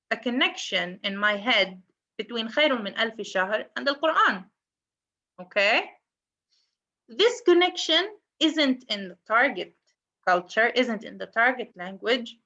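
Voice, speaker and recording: A woman's voice: on the high side, pushed and bright, lo-fi sound. A woman with an Arabic accent, a native Arabic speaker, lecturing on translation and explaining step by step, often restarting her words. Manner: explanatory, repeats and restarts words, switches between English and Arabic. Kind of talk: university lecture.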